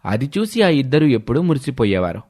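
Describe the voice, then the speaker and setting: A man's voice: mid-range voice, very animated, fast talker, darkish tone. A man narrating a scripted story.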